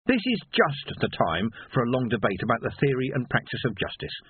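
A man's voice: medium pitch, sing-song, brisk, slightly rough, dry recording. A man voicing a weary, commanding veteran male sergeant who barks orders bluntly. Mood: stern. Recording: dry, tinny phone-like sound.

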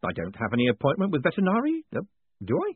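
A man voicing a wary, quick-witted young con man who sounds wry and nervy. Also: fairly high pitch, wildly swooping pitch, slightly rough, no echo, pitched above the performer's own voice, full character voice. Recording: tinny phone-like audio.

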